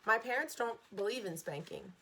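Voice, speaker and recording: A woman reading as a patient mother, calm and gentle yet firm. Her tone is clear and bright, never rushed. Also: fairly high pitch, full character voice, low-quality recording. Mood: soothing.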